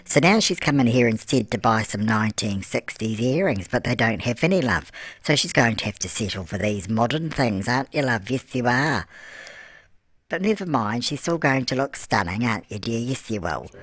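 A man's voice: medium pitch, expressive, moderate pace, fairly bright tone, no echo. A man voicing a chatty, doting old grandmother, fussing, cooing endearments and answering her own questions while narrating a life-sim game. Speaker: gameplay narrator. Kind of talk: life-sim gameplay commentary in character. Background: music.

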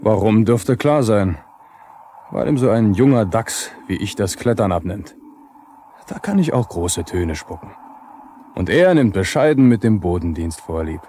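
An actor playing a defiant, grumbling young line technician in a radio play, colloquial and disgruntled. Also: male voice, fairly low pitch, lively intonation, unhurried, warm tone. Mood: sullen, defiant. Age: young adult. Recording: faintly noisy 1970s radio play recording.

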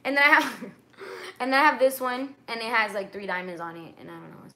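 A woman's voice: a little high, steady tone, a little fast, bright, forceful tone. A young woman chatting casually on a livestream from her bedroom, slangy, chatty, swearing freely. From Dominican heritage. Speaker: vlogger on a livestream. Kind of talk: casual livestream chat, reading out viewer comments. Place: bedroom at night, wrapped in a blanket. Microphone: webcam or phone at arm's length.

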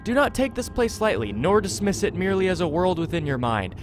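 A man's voice: high voice, very animated, a little fast, a little hiss or hum. A man reading as a solemn game spirit. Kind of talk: scripted video game dialogue read aloud.

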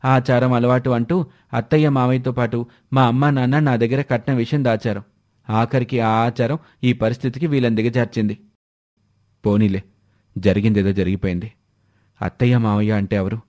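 A man's voice: medium pitch, fairly even intonation, normal speed, a little mellow, close to an everyday voice. A man voicing a young son-in-law who speaks earnestly and reflectively.

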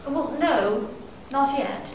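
A woman's voice: high-pitched, slow, darkish tone, a little hiss or hum. A woman acting an anxious, demanding, self-pitying bedridden wife in a 1940s-style radio play, stammering and indignant. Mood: nervous, defensive.

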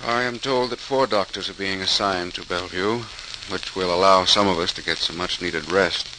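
An actor playing a grave, authoritative senior hospital doctor in an old-time radio drama, formal and commanding. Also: male voice, low-pitched, fairly even intonation, moderate pace, lightly acted. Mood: grave, brisk. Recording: old radio recording, faint hiss.

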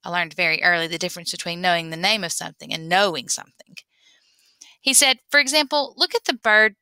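A woman reading a memoir aloud in an expressive storytelling way. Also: fairly low pitch, fast talker, smooth, dry recording. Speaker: reader.

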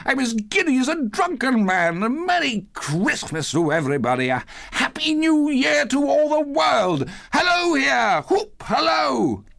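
A man's voice: sits high, big pitch swings, unhurried, gravelly, no echo, studio quality, pitched above the performer's own voice, exaggerated voice. A man voicing a reborn old miser, effusive and exclamatory, in a theatrical storybook reading. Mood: giddy elation. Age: older. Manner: exclamatory, effusive, repeats himself.

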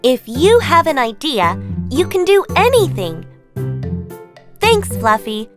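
A woman voicing a gentle, optimistic young heroine in a children's cartoon story, sweet, meek and polite. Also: high-pitched, sing-song, slow pace, pitched above the performer's own voice, heavily acted. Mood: cheerful. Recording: music under the voice.

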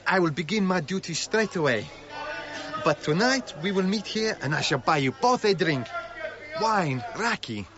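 A man's voice: sits high, animated, moderate pace, lo-fi sound, over music. An actor playing a courteous, engaging Cretan foreman with a Greek accent in a radio drama. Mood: courteous, eager.

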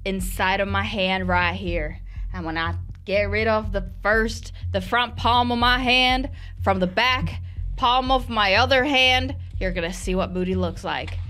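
A woman's voice: mid-range voice, measured pace, bright, forceful tone. Theatrical young woman host over background music. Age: young adult. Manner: theatrical, playfully exaggerated. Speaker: gaming YouTuber, host. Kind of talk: playful casual chat, reading and answering viewer comments. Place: small indoor room set up for streaming. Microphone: close desk microphone with headphones.

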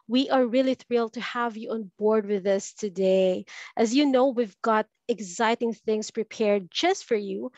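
A woman's voice: a little high, a little mellow, dry recording. A woman from the Philippines hosting an online language webinar over a video call, upbeat and welcoming. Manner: upbeat, welcoming, scripted-sounding. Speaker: host. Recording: muffled video call audio.

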